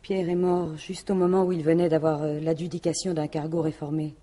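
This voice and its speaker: An actress playing a defensive, jealous French widow in a radio mystery, talking in halting, colloquial phrases. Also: female voice, deep voice, little pitch movement, warm tone. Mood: weary. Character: defensive, jealous widow, plain-spoken businesswoman. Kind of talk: 1950s-style French radio mystery acting.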